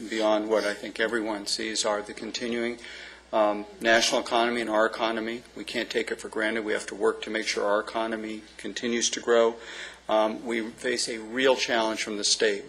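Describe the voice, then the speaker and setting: A city manager addresses the council in a low, gravelly voice. His pitch barely moves, and he gets his points across in earnest, plain terms with frequent filler sounds. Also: little pitch movement, fairly quick, a bit bright, low-quality recording. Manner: earnest, plain, with many ums and uhs. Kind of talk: closing remarks at a council meeting.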